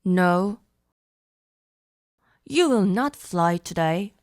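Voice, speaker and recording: A doubting storybook animal acted by a woman, pitched well below her narrating voice and very slow. The delivery is blunt and emphatic, firmly contradicting, in a dry, close children's read-aloud. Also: expressive, a little mellow, no room sound. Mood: dismissive.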